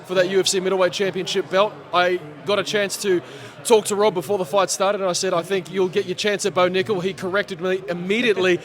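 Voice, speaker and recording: A man, an event MC giving post-fight reactions, animated and chatty and losing his voice. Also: sits high, quick pace. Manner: animated, chatty, laughs often. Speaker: MC, guest. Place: sports bar at a casino.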